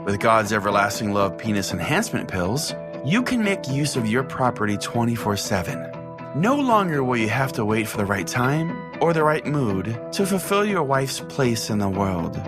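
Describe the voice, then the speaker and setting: A man's voice: medium pitch, sing-song, normal speed, music in the background, natural voice. A man playing a crude, oblivious small-town pastor who hosts a radio call-in show, folksy and theatrically earnest. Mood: earnest pitchman. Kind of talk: comedic mock-radio sermon and host banter.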